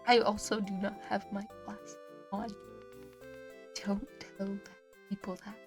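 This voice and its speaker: A young woman streaming a visual novel and chatting casually, giggly and swearing freely, with a voice gone slightly hoarse. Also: medium pitch, level tone, takes it slowly, over music.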